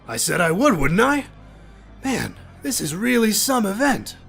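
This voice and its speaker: A man performs a small alien hog laborer for an audio drama, with a high-sitting, very mobile voice. He is sly and grumbly, and music plays softly behind him. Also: big pitch swings, unhurried, gravelly, slightly rough, exaggerated voice. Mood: pleading.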